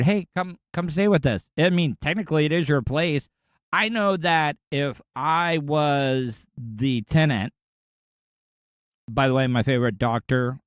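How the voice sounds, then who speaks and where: Man, thirties, podcast host, sarcastic rambling rant, slightly high voice, dry close sound. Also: clear, resonant tone, dry recording. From Florida. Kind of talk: casual ranting commentary.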